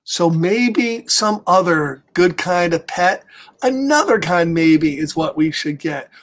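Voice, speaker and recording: Animated American man reading to children. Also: high voice, takes it slowly. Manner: animated, exaggerated delivery for young children. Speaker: story time host reading to children. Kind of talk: reading a picture book aloud with chatty asides. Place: small home office, indoors.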